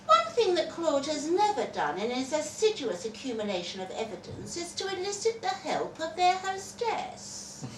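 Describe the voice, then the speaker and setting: A woman reading a literary short story to a live audience in a theatrical, wry and deliberate way. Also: sits high, very animated. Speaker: reader performing a short story at a festival. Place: live festival reading in a roomy indoor space. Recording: roomy live event recording, distant mic, faint noise.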